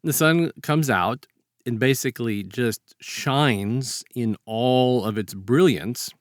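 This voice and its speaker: A man's voice: mid-range voice, takes it slowly, no room sound, clean studio sound. A man co-hosting a podcast, chatting and telling stories in a rambling, colloquial way. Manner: rambling, colloquial, acts out short quotes. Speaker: podcast co-host. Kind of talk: casual chat, informal storytelling.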